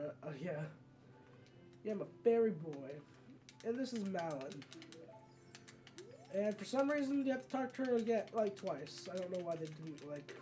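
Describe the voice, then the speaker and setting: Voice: medium pitch, warm tone. A gamer hosting a casual let's play, rambling along and often correcting themselves mid-sentence. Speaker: gaming video host. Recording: game music behind the voice. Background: video game music.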